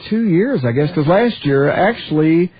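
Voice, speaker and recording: A man's voice: fairly high pitch, animated, moderate pace. A man hosting a radio show, chatty and jovial, laughing readily with his guest. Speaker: radio host. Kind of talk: casual radio interview. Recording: dull lo-fi radio sound.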